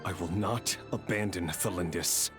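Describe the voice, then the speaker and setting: A man playing a brooding, weary soldier in a video game, speaking in a grave, measured way. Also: sits low, lightly acted. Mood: bitter, tense. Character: brooding, weary young male soldier torn between duty and mercy. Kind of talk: dramatic video game acting. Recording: game music behind.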